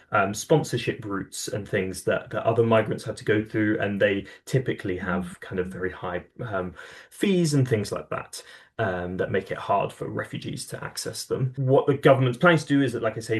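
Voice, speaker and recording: A man, an advocacy coordinator, explaining policy in conversation, with many fillers and restarts. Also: on the low side.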